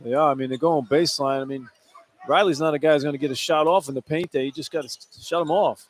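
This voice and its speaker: A man's voice: fairly high pitch, steady tone, fast. A man giving conversational, emphatic and colloquial basketball analysis as a radio color commentator. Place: indoor sports arena. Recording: live arena broadcast with background noise.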